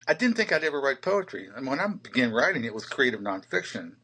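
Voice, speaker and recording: Man in his 60s from west virginia, slow thoughtful storyteller, dry close sound. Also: mid-range voice, very animated, pushed and bright, breathy edge, dry recording, rough recording. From southern West Virginia. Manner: unhurried, reflective storytelling with many fillers. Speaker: poet and photographer guest. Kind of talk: relaxed interview talk.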